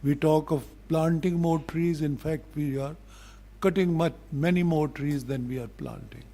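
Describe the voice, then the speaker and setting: Older man, Pakistani accent, slightly high pitch, mellow tone, formal speech, deliberate admonishing delivery. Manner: deliberate, measured, blunt and admonishing. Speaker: ambassador, public speaker. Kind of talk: formal public address.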